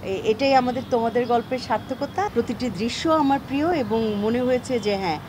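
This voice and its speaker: High-voiced woman in a press interview. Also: fairly quick. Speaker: actress being interviewed. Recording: clear urban traffic noise.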